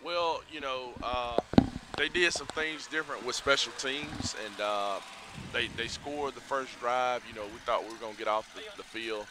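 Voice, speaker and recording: A middle-aged man, a head football coach giving a measured, reflective postgame interview outdoors at night on a headset mic. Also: medium pitch, very noisy. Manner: measured, reflective, many fillers. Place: outdoors by a school building at night.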